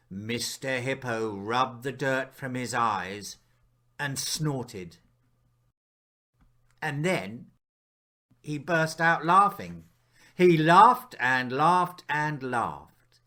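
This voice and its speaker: A man narrating a children's picture book as its author, telling it expressively with dramatic pauses and hushed moments. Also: a little high. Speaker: author reading his picture book aloud.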